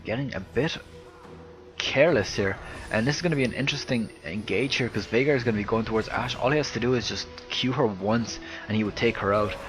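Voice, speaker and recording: A man with an Irish accent and a soft, slightly lispy voice, giving live video game commentary into a close headset mic. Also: mid-range voice, a little fast, faint music behind, low-quality recording. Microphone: headset close to the mouth.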